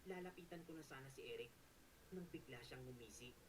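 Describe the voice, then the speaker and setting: A man's voice: mid-range voice, fast talker. A man telling a story, recorded very faintly from a distance. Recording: very faint, distant, noisy background voice.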